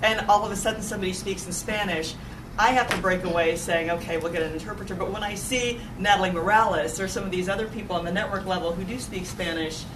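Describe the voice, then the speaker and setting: A woman's voice: mid-range voice, fast. An American woman speaking informally and emphatically on a discussion panel, repeating phrases for effect. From suburban Dallas, USA. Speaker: news anchor on a discussion panel. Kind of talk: animated, informal panel talk. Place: event room at a live panel discussion. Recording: noisy, echoey room, distant mic.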